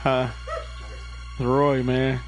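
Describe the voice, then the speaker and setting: A man's voice: mid-range voice, completely flat delivery, drawn-out words. A man chatting casually and giving slangy, laid-back instructions during a video game. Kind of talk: casual chat while coaching a video game. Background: music.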